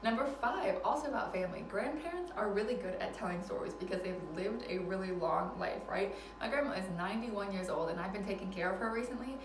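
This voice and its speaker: A woman's voice: mid-range voice, quick pace, hardly any pauses, recorded from a distance, music in the background. A woman in her twenties chatting casually in an advice vlog, laughing often and poking fun at herself, recorded indoors at a relative's house. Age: 20s. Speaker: vlogger. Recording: roomy, mic set back, faint background noise.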